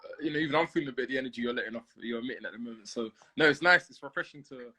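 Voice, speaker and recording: A man rattles along quickly as he interviews his guest live, eager and friendly and full of fillers. His pitch sits mid-range, and he comes through a phone video call. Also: background noise. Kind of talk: casual live interview chat.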